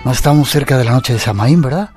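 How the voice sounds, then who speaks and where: A man's voice: mid-range voice, fast talker, a little mellow, faint music behind. A man hosting a live radio interview in a studio, asking chatty, joking questions. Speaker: radio host.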